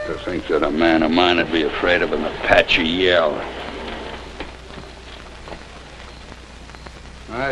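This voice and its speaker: Very deep-voiced, stern American cavalry commander. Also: male voice. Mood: scornful. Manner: commanding, condescending, lecturing. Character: stern, proud cavalry commander in the Old West. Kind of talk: mid-century American TV western acting. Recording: dull, noisy old TV soundtrack, rain.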